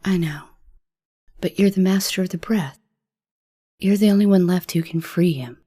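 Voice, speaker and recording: Woman playing a low-voiced, mellow, resolute spellcaster. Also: moderate pace, breathy edge. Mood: weary, resolute. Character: weary, resolute, cool female celestial spellcaster.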